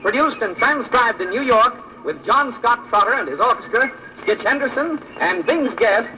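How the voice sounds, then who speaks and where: A man's voice: very high-pitched, expressive, moderate pace, bright, forceful tone, a little hiss or hum, poor audio. A man working as a radio announcer, introducing an old-time variety show over music. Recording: vintage radio transcription, music underneath.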